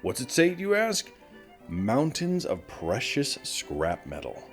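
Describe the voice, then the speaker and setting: A man plays a folksy morning radio host broadcasting from a small town in the wasteland. He chats straight to his listeners with a lively, swooping delivery, over faint music. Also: medium pitch, takes it slowly, exaggerated voice. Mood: upbeat, chatty. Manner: conversational, addresses listeners directly. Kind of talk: scripted radio show monologue, folksy.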